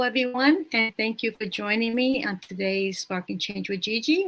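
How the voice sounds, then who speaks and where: Woman host with a measured delivery. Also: mid-range voice, a bit bright, poor audio. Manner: measured, frequent "um" fillers while introducing. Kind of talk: casual interview hosting.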